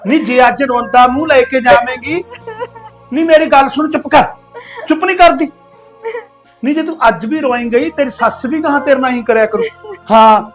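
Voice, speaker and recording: A woman's voice: high voice, a bit bright, echoey room. A woman playing a bossy, scolding aunt who bluntly orders her crying niece about in a family skit.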